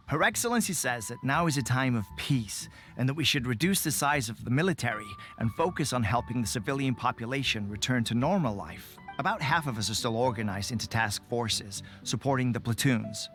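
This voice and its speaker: A man's voice: medium pitch, fairly bright tone, close to an everyday voice. An actor playing a loyal, eager resistance soldier in a video game who addresses his superiors respectfully.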